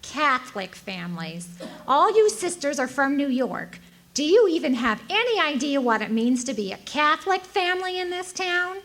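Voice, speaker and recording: A woman's voice: high voice, sing-song, normal speed, fairly bright tone. A woman acting a self-righteous, indignant frontier townswoman in a live radio drama, haranguing with haughty scorn. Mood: scornful. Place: hotel event room, live performance. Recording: live stage recording, faint noise.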